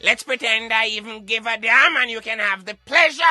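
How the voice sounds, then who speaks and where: Man radio cohost, really piercing bright voice, posh dismissive way of talking. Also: very high-pitched, a little fast, no echo, soft background music. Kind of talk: radio debate in standard English.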